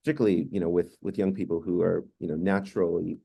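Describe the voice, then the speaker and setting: Low, dark-voiced man moderating a panel. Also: steady tone, fast talker, gravelly, breathy edge. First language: Hungarian. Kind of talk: online panel discussion. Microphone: video call.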